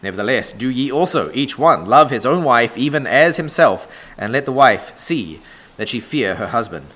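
A pastor with a sore throat, hoarse, reading scripture and preaching in a measured, deliberate sermon cadence. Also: male voice, mid-range voice, lively intonation, a bit bright, poor audio.

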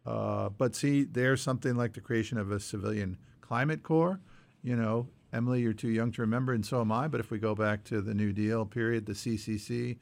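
A man co-hosting a podcast, talking through news points in a measured, explanatory way with frequent qualifiers and a gravelly edge. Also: mid-range voice, steady tone. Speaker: podcast co-host. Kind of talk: conversational news discussion working through numbered points.

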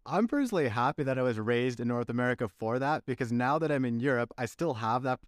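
A man's voice: mid-range voice, lively intonation, quick pace, dry recording, clean studio sound. A man who grew up in Canada hosting a podcast, talking in an easygoing, conversational way. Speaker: podcast host.

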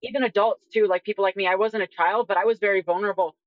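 A woman's voice: medium pitch, steady tone, rapid-fire, highs cut off. A woman in her twenties talking earnestly as a guest in a conversational discussion, often saying "like". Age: 20s. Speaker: guest, detransition advocate.

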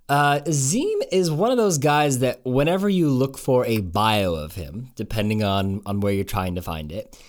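A man hosting a podcast who talks in a loose, chatty way, with fillers and restarts. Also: medium pitch. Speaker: podcast host. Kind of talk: conversational podcast intro and interview.

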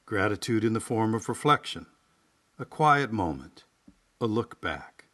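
A man hosting solo in a folksy, unhurried way, like a writer sharing his own work. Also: lowish voice, sing-song, sharp tone. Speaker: podcast host and writer. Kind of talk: casual monologue and reflective essay reading.

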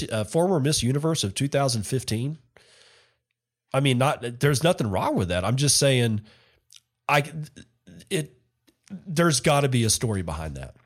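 Rambling chatty male podcast host. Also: medium pitch. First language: not Finnish. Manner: rambling, self-correcting, chatty. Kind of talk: casual solo podcast commentary.

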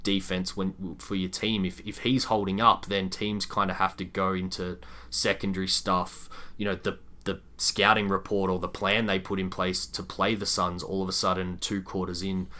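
Man, very deep voice, crisp bright edge, sports podcast co-host, wordy explanatory chat. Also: level tone, normal speed. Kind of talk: casual sports analysis chat.

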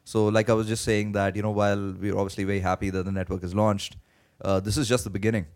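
A man being interviewed as a guest, answering in a halting way with many fillers, his voice worn from days of talking. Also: fairly low pitch, little pitch movement, darkish tone. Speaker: guest, blockchain project team member. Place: conference venue.

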